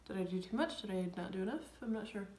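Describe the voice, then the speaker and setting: A young woman coming down with a cold, chatting through a makeup tutorial in a rambling way, often dropping to soft near-whispers. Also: mid-range voice, lively intonation, quick pace. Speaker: beauty vlogger. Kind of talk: casual makeup tutorial chat. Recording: echoey room.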